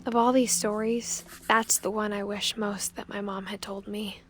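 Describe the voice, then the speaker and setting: A woman's voice: medium pitch, little pitch movement, normal speed, natural voice. An actress playing a wistful young American woman who recounts family stories in a video game. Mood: hushed, wistful. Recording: music behind the voice.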